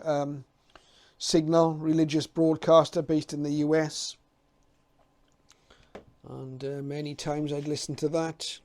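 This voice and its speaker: A man's voice: on the high side, dark tone. A middle-aged man giving informal, unscripted commentary as a hobbyist presenter, hesitant and reminiscing as he goes.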